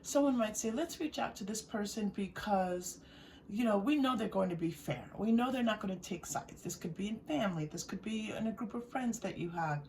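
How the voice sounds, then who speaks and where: A woman with a mid-range voice gives an unscripted tarot reading to her viewers. She is gentle and reassuring, searching for words and repeating them as she goes. The mic sits at some distance, with faint noise behind her. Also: mid-range voice, lively intonation, normal speed. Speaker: tarot reader.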